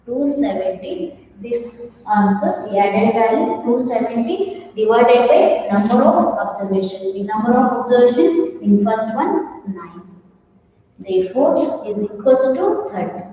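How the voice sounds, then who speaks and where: A woman with an Indian accent giving a college maths lecture in a halting, repetitive, step-by-step way. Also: a little high, expressive, dark tone. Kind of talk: maths lecture, working through calculations. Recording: echoey room, distant mic, muffled, noisy.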